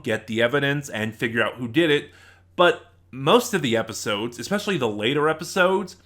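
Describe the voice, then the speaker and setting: A man's voice: a little high, a bit bright. Casual man giving a chatty review. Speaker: host of a review channel.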